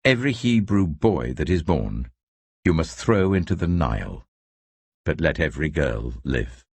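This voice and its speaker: A man's voice: extremely low pitch, very animated, unhurried, slightly rough, full character voice. A man voicing a stern, murderous king of Egypt in a commanding manner, in an audio scripture reading.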